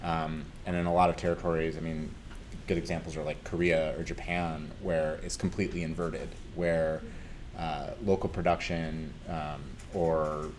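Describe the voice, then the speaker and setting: Very deep, flat-voiced man. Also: normal speed, slight background noise. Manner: explanatory, matter-of-fact. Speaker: industry panelist. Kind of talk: panel discussion.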